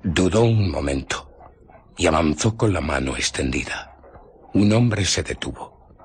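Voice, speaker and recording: An actor playing a bitter, haunted scientist and fugitive, recounting his ordeal in a radio play with theatrical, deliberate pauses. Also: sits low, animated, slight background noise. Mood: tense recounting. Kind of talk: dramatic radio play narration.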